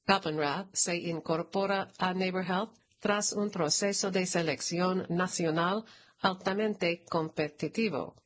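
A woman reading a scripted news announcement aloud in a formal, measured manner. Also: fairly low pitch. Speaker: narrator reading a press release.

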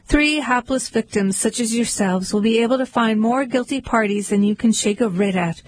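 A sly fox in a suit pitches his legal services with slick persuasion, as a woman reads him. The voice is slightly breathy and dry. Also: normal speed, dry recording, close to an everyday voice, poor audio. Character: smooth-talking male fox lawyer.

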